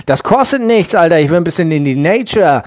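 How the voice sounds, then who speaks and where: A man's voice: on the high side, animated, brisk, a little mellow, dry recording, clean studio sound, natural voice. A man voicing a hot-tempered, bullying, slangy church man in a crude comedy skit, swearing and mixing English into German. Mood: ranting, slangy.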